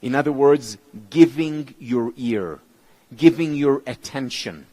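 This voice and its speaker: A man whose mother tongue is Hebrew gives a motivational conference talk in English, with measured, emphatic phrasing and deliberate pauses. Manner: lively, expressive pitch, dropping low on key words. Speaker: keynote speaker.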